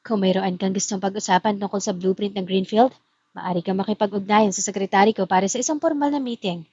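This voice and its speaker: A woman's voice: medium pitch, quick pace, darkish tone, dry recording, highs cut off, natural voice. A woman voicing a cold, formal businesswoman in an audio drama, detached and professional. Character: cold, formal businesswoman, poised estranged wife.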